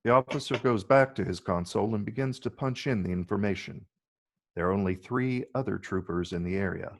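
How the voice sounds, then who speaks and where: A man with a gravelly, husky voice reading scripted screenplay narration. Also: lowish voice, brisk. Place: virtual call from separate location.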